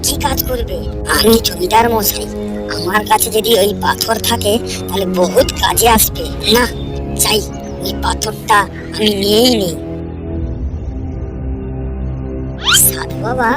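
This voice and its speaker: A man's voice: high-pitched, moderate pace, natural voice. A man voicing a greedy, outwardly pious village landlord in a cartoon folk tale, commanding and self-important. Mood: greedy, excited. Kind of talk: Bengali animated folk-tale dialogue. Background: music.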